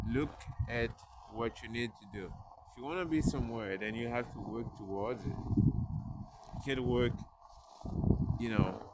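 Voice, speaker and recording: A man speaking English as a later language, giving an earnest motivational talk to his viewers, with many restarts and pauses. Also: medium pitch, very noisy. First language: not English. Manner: halting, restarts words, earnest. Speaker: vlogger.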